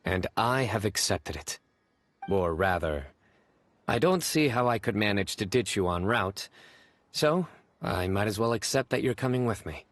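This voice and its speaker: Deep-voiced, stoic young enforcer. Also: male voice. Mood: resigned. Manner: deadpan, measured, formal. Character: stern, stoic young male law enforcer, reserved. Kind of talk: video game voice acting. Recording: game music behind.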